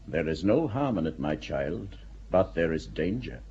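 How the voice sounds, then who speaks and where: An actor playing a worldly, stern middle-aged archbishop who speaks in a measured, authoritative way. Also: male voice, low voice, expressive, darkish tone, breathy edge, exaggerated voice. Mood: grave. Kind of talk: audio drama acting. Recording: dull audio with faint hiss.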